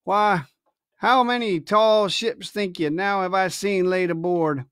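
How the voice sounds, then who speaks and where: A man's voice: high-pitched, fairly even intonation, takes it slowly, fairly bright tone, smooth, no room sound, studio quality. A man reading a storybook, voicing a sly, domineering pirate ship's cook with hectoring, colloquial sailor speech. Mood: scornful, scolding.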